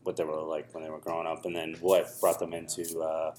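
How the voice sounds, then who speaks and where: A man hosting a podcast, chatting casually in a halting, hesitant way. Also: rumbling low, monotone, darkish tone, a little hiss or hum. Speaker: podcast host. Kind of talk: casual chat.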